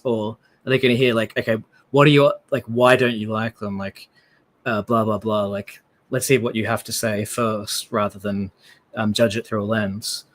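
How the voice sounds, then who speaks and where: A man with an Australian accent chats on his live stream. He talks loosely, with frequent fillers and restarts. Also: fairly low pitch. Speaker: livestream host. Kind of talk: casual live-stream chat.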